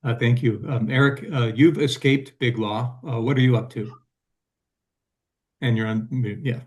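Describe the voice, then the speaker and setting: A man moderating a panel, speaking off the cuff in a halting, courteous manner. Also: medium pitch, steady tone. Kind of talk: unscripted panel introduction.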